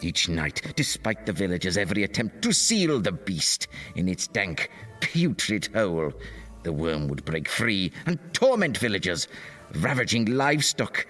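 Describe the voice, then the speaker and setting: A man performs an old teller of tall tales for a comedy podcast. His delivery is hammy and mock-gothic, with doddery asides and extremely animated swings in pitch. Music plays clearly behind him. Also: unhurried, exaggerated voice. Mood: ominous, dramatic. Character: theatrical old male storyteller of tall tales.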